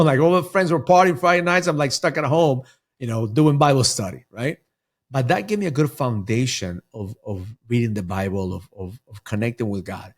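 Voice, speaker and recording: A middle-aged man from Ecuador, a mindset coach talking earnestly as a podcast guest, often repeating words as he restarts. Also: medium pitch. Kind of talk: podcast interview.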